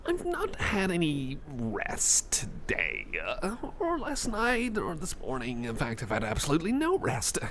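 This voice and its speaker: A man's voice: a little high, wildly swooping pitch, measured pace, few pauses, crowd noise, heavily acted. A man playing a goofy, anxious, sleep-deprived friend in an ASMR roleplay, rambling and repeating himself in a nervous, stammering way, often slipping into whispers. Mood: goofy, wired. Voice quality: often drops into breathy whispers. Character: jittery, over-caffeinated, sleep-deprived, goofy and anxious male friend in a library.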